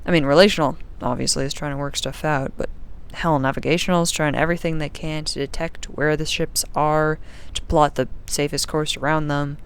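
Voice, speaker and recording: Actor as young sci-fi crew diarist, sarcastic, uneasy, informal, crisp yet creaky, audio drama monologue. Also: mid-range voice, close to an everyday voice. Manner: wry, sarcastic, informal, rambling. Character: sardonic, uneasy young crew member keeping an audio diary. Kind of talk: audio drama diary monologue, casual and conversational.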